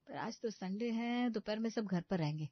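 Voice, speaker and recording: A woman's voice: mid-range voice, normal speed, no room sound, highs cut off, lightly acted. A woman voicing a scheming young daughter-in-law in a moral story, sugary to elders and smug when plotting. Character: scheming, self-satisfied young daughter-in-law cutting corners in the kitchen.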